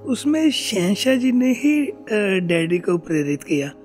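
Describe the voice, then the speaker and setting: A man's voice: high voice, big pitch swings, slow pace, darkish tone, soft background music. A man speaking as an interview guest, reminiscing conversationally and acting out remembered exchanges as he goes. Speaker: guest recalling his father.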